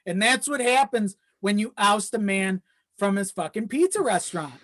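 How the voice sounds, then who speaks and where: Podcast guest for casual conversation: mid-pitched voice, self-deprecating, loose and profane. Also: expressive, moderate pace, a bit bright. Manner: informal, sweary, self-deprecating. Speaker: video editor.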